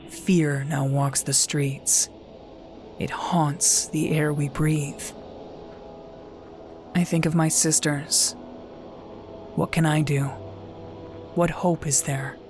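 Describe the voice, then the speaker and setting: Low, slow actress as devout warrior sister, grave and resolute, music behind. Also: female voice, darkish tone. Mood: haunted, solemn. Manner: grave, solemn, intense. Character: wounded devout warrior sister, resolute martyr facing death. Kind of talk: dramatic first-person narration in audio drama.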